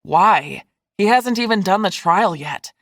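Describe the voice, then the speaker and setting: A woman's voice: on the low side, expressive, moderate pace, dry recording, studio quality, lightly acted. A woman voicing a blunt, sassy young woman student in an audiobook, sardonic and forthright. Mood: disbelieving.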